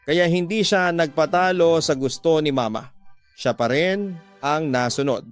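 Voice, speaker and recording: High-pitched Filipino man narrating drama. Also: unhurried, clear, resonant tone. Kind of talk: scripted first-person dramatic narration. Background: background music.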